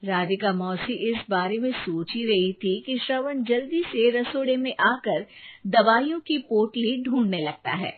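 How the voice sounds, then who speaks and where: A woman's voice: fairly high pitch, animated, measured pace. A woman narrating a scripted story as a storyteller, on a tinny recording. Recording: tinny phone-like sound with faint noise.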